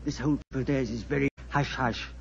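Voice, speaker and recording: An actor playing a talkative, gossipy ship's doctor in a 1940s radio drama on a dull old recording. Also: male voice, mid-range voice, steady tone, fast, creaky, a little hiss or hum, close to an everyday voice. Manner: chatty, gossipy, chuckling.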